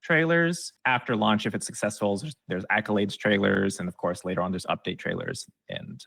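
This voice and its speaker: A man's voice: lowish voice, sing-song, normal speed, creaky, fairly bright tone, dry recording. A man giving conversational, explanatory advice as an expert guest in an interview. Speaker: guest expert on game trailers.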